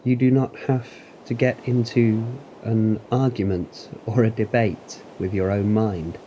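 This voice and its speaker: A man narrating a guided meditation in a calm, deliberate, soothing manner with long pauses. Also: fairly low pitch, a little hiss or hum, poor audio. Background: waves.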